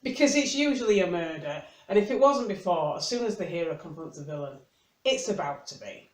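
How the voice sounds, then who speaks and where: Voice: medium pitch, expressive, brisk. Dry-witted commentator in an echoey room. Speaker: book reviewer and video host. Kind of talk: wry scripted commentary on fiction clichés. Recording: roomy, echoing sound.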